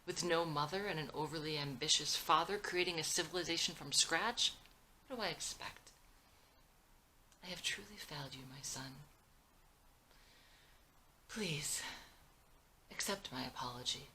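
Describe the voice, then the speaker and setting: A woman's voice: sits low, measured pace, pauses often, pushed and bright, slight background noise. A woman voicing a sentimental, idealistic older founder and father, earnest and emotional, in a dramatic script reading. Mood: sorrowful, apologetic. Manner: earnest, emotional, speech-making.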